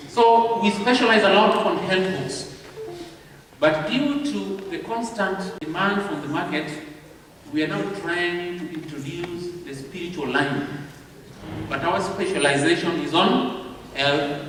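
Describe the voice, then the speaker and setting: A man with a Kenyan accent giving a training talk at a convention, speaking slowly and deliberately and checking in with the audience. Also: sits high, a bit bright, slight background noise. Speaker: publishing house representative. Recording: echoey hall recorded from far off.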